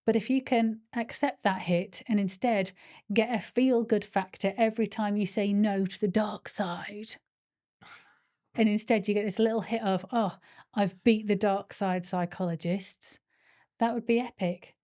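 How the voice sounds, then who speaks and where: Passionate woman, mellow voice. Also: medium pitch, fairly even intonation, moderate pace. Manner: passionate, ranting. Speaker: podcast co-host, hypnotherapist. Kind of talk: casual chat.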